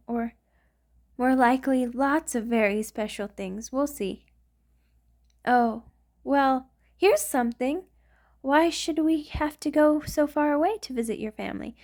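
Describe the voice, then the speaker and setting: A woman playing an affectionate, refined vampire in an ASMR romantic roleplay, speaking tenderly and a little hesitantly, with gently formal wording. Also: fairly high pitch, lightly acted. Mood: adoring, grateful. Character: affectionate, refined vampire queen in love with a human.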